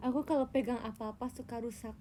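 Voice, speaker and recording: Young Indonesian woman, slightly high voice, mellow tone, playful livestream chat, faint background noise. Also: steady tone, fast. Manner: playful, teasing, tells tall tales. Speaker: idol livestreamer. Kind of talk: casual livestream chat to viewers.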